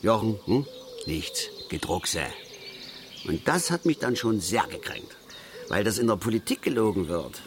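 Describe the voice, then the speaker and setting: A man's voice: on the low side, big pitch swings, slight background noise. An actor playing a self-righteous, talkative middle-aged father and angler in a radio drama, in a rambling, colloquial manner. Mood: hurt, brooding. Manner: rambling, colloquial, halting asides, self-important. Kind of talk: naturalistic German radio drama monologue and dialogue.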